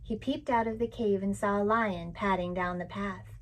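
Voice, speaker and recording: Woman, children's read-aloud, gentle, expressive, mid-pitched voice. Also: normal speed, poor audio. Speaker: children's book reader.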